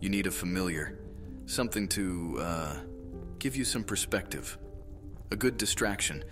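Low-voiced, wry Roman soldier acted by a man. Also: expressive, moderate pace, over music. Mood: chiding. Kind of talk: immersive fantasy roleplay audiobook acting.

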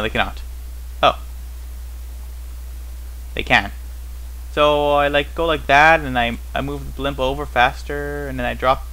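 A man's voice: medium pitch, bright, forceful tone, rough recording. A man giving casual, unscripted gameplay commentary as host, rambling and thinking aloud with wry, puzzled questions to himself. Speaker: host of a gaming series.

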